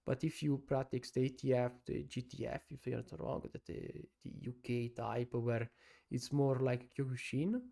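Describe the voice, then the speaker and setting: Italian man as a live-stream sports analyst: gravelly, mellow, halting non-native English. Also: mid-range voice, fairly even intonation. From Italy. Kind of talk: casual live-stream commentary.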